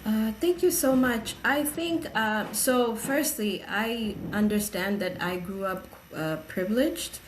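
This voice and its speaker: A young woman from Tanzania answering interview questions, measured and pausing often with fillers. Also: fairly high pitch, background noise. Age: young adult. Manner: measured, hesitant, many filler pauses. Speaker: guest, gender equality advocate.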